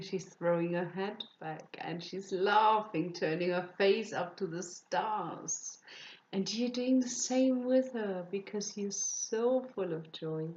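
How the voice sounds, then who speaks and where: A woman leading a guided meditation, speaking softly and soothingly, often dropping into breathy whispers. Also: mid-range voice, lo-fi sound. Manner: slow, soft, soothing with breathy whispered phrases.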